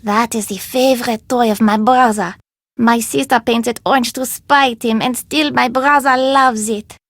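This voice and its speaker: Stubborn market seller for a game, highish voice, dry sound, haggles hard. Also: female voice, fairly even intonation, normal speed, fairly bright tone, no room sound. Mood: proud, insistent. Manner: emphatic haggling in formal, foreign-sounding phrasing. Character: proud, stubborn female street vendor of curios. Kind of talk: video game character dialogue.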